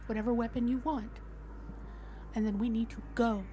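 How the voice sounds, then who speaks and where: A woman's voice: on the high side, steady tone, moderate pace, mellow, a little hiss or hum. A woman player casually improvising in character during a tabletop roleplaying game.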